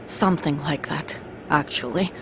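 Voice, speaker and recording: A woman playing a tough, guarded soldier in a video game, speaking gravely and tersely under a heavy burden. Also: low-pitched, moderate pace. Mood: pained confession. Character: tough, guarded female soldier, burdened mother turned double agent. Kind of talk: dramatic video game cutscene acting. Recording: noisy mechanical hum behind voice.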